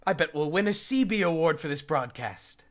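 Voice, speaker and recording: A man's voice: fairly high pitch, lively intonation, moderate pace, natural voice. A man voicing a pompous, vain middle-aged radio psychiatrist in a comic fandub, with haughty, theatrical, ornate diction. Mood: smug, scheming.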